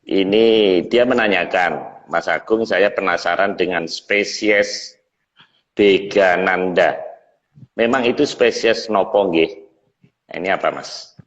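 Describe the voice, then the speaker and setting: Deep-voiced man in a reverberant, muffled space. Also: slow, long pauses, a bit bright. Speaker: host of a livestream series. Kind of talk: casual live online chat. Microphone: online video call. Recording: muffled low-fi audio with long reverb.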